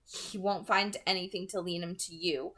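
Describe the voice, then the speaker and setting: A woman playing a brooding, protective young man in love, softly reassuring, in a novel read aloud. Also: lowish voice, fairly even intonation, moderate pace, low-quality recording. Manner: soft, reassuring, earnest. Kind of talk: audiobook-style character reading.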